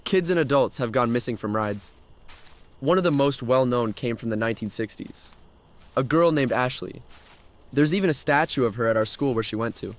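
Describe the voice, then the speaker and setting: A man's voice: medium pitch, animated, moderate pace, natural voice. A man playing an adventurous, thrill-seeking young man who tells his story in a horror audio drama. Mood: reflective, ominous.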